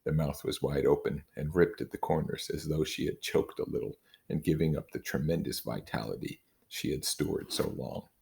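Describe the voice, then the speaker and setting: A man reading a novel aloud in a measured, informal way, leaning on certain phrases for emphasis. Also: extremely low pitch, vocal fry, a little mellow. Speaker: reader.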